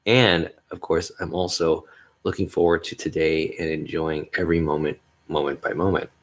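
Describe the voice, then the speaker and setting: A man hosting a solo podcast, talking in a mellow bass voice, upbeat and rambling, with frequent chuckles. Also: fairly even intonation, moderate pace. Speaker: podcast host. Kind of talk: casual solo podcast monologue.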